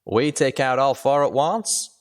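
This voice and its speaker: A man's voice: mid-range voice, measured pace, voice pushed up, close to an everyday voice. A man voicing a cocky, folksy male cowpony pegasus in an audio story. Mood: cocky, eager.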